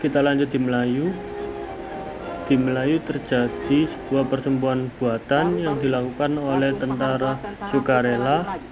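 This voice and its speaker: A young man gives an explanatory academic presentation as a student, speaking haltingly in short phrases. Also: medium pitch, fairly even intonation, over music. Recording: dull lo-fi audio, clear background noise.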